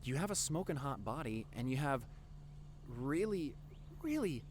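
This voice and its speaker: Casting: male actor as devoted nerdy young boyfriend for audio roleplay; mid-range voice, lively intonation, earnest and teasing. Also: mid-range voice, measured pace, slight background noise. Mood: earnest, admiring.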